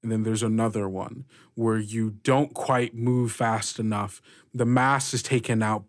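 A man narrating a tabletop roleplaying game as game master, improvising in short, halting phrases. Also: on the low side, no room sound, studio quality.

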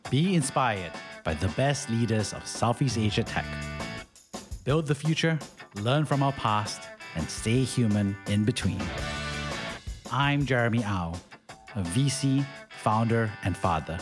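A man's voice: medium pitch, lively intonation, measured pace, over music. A male podcast host reading his show introduction, then asking questions with enthusiasm. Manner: enthusiastic, warm praise of guest. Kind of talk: scripted intro and interview questions.

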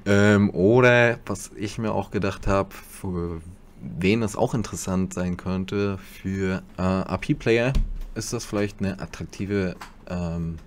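A man's voice: low-pitched, slow, a little hiss or hum. A man giving a casual tutorial commentary as host, speaking into a desktop USB microphone.

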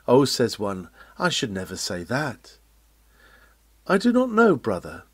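A man's voice: medium pitch, huge pitch swings, unhurried, husky, no echo. A man reading an old-fashioned sermon aloud in an oratorical style, with an earnest, exhorting and solemn delivery. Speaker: reader of historic sermons. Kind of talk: read-aloud 19th-century sermon, oratorical.

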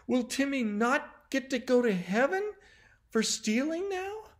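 Storybook girl, the worried friend, performed by a man: high, swooping, urgent, earnest. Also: lively intonation, unhurried, husky, full character voice. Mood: near tears.

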